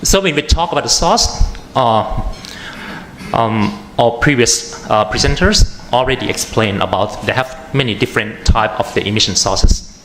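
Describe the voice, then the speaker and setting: A man's voice: mid-range voice, fairly bright tone. Thai man presenting in an echoey room. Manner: halting, many fillers and restarts. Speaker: panel speaker, atmospheric chemist. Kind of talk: conference presentation. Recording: echoey room with faint noise.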